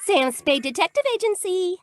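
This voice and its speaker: A woman's voice: very high-pitched, expressive, normal speed, dry recording, studio quality. A woman playing a devoted, naive young detective's secretary who gushes and frets, in old-time radio comedy style. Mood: worried, fussing. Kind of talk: 1940s-50s radio comedy-mystery acting.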